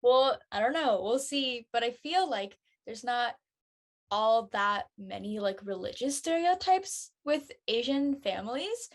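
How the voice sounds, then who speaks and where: A woman's voice: on the high side, lively intonation, measured pace. A woman, an actor on a live show, chatting informally about her character with frequent use of "like".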